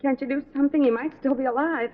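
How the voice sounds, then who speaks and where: An actress playing a distraught, strained wife in a 1950s radio drama. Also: female voice, high voice, fairly even intonation, quick pace, close to an everyday voice. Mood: anxious, urgent. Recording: tinny, noisy old radio recording.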